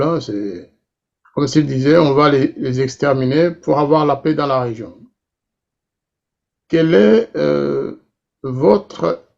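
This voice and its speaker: Casting: male talk-show interviewer, gravelly, hesitant, many fillers, dull lo-fi sound. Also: medium pitch. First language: not English. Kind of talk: political interview questions.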